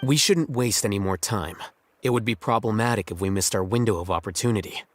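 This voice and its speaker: A man voicing an aloof young scholar who speaks in a measured, dry, cutting way. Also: fairly low pitch, slight background noise, natural voice. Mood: sardonic. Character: aloof male scholar, cool and condescending. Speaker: scholar, scribe.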